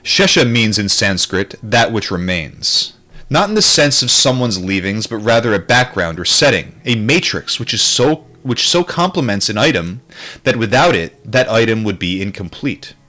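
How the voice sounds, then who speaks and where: A man's voice: fairly low pitch, very animated, normal speed, pushed and bright. A man reading aloud from a book in an informal way, sometimes adding casual commentary and stumbling over difficult terms. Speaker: book reader, streamer.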